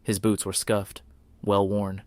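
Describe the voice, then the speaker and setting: A man narrating a scripted suspense story in a brooding, deliberate manner, with short dramatic phrases. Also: fairly low pitch, monotone, dry recording.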